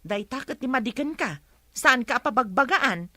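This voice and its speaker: A woman's voice: high-pitched, lively intonation, moderate pace, bright, forceful tone, no echo, studio quality. A woman playing a patient, apologetic wife who pleads with and chides her husband in a radio drama. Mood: reproving. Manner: pleading, reproving.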